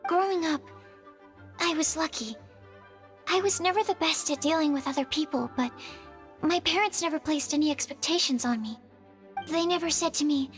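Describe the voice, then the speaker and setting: A woman acting a shy girl researcher, high voice, precise and modest, bookish, video game dialogue over faint music. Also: lightly acted. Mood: sincere, reflective. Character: shy, earnest young female alchemist and researcher.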